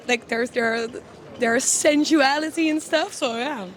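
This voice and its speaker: A woman's voice: sits high, measured pace. A woman answering a street interview, giggly and unsure. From Netherlands. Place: city street outdoors.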